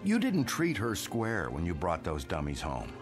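An actor playing a suspicious, blunt brother-in-law who keeps probing and accusing, in a 1960s TV drama. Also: male voice, sits low, wildly swooping pitch, moderate pace. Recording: vintage TV soundtrack with music underneath.

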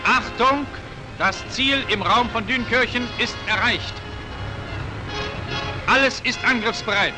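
High-pitched male 1940s wartime newsreel narrator. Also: slow, pauses often, music in the background, poor audio. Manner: emphatic, declamatory, clipped military delivery. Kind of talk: 1940 wartime propaganda newsreel narration, scripted. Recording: vintage 1940s newsreel film soundtrack.

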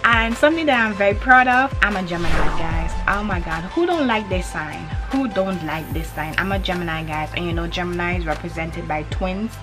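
A young Grenadian woman talks straight to the camera, her voice sitting a little low for a woman. She is animated and emphatic, circling back to repeat her points. Music plays clearly underneath her speech. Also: a little fast, hardly any pauses. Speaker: vlogger. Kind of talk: casual to-camera chat. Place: indoors in front of a hanging cloth backdrop. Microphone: camera in front at head-and-shoulders framing.

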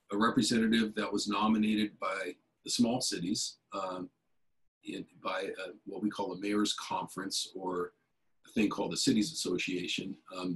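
Middle-aged man, keynote speaker, home office webcam, lowish voice, rambling with fillers. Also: low-quality recording. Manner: rambling, many fillers and restarts. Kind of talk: conversational video-call interview. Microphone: video call webcam.

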